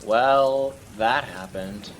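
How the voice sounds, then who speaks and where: A man's voice: mid-range voice, very slow. A man playing an uneasy lighthouse companion in an amateur radio play, with a plain, questioning delivery. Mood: bemused. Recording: noisy rain and storm ambience.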